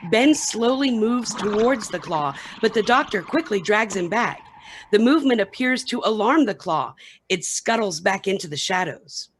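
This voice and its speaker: A woman delivers scripted narration for a radio play reading. Her pitch sits in the middle of the female range, and she reads stage action as a storyteller. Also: animated, moderate pace, a little hiss or hum, low-quality recording.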